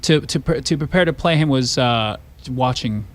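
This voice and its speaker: A man's voice: medium pitch, normal speed. A man, a stage actor, talking casually as a guest in a radio interview. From born in Cuba, raised in the United States. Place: radio studio.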